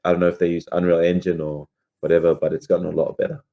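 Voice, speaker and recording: A man's voice: low-pitched, level tone, fast, muffled recording. A man chatting informally as a podcast co-host. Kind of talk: casual podcast chat.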